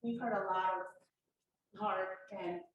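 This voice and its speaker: A woman from a faith-based coalition, speaking at an event and leading a guided contemplation with slow, calming counted breaths. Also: mid-range voice, dull audio. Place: event hall. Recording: distant, echoey room with faint noise.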